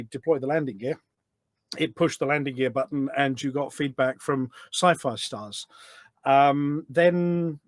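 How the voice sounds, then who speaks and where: A man's voice: a little high, no echo. A British man telling anecdotes in a casual, enthusiastic, rambling way as a podcast guest. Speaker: podcast guest, voice pack developer. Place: small studio.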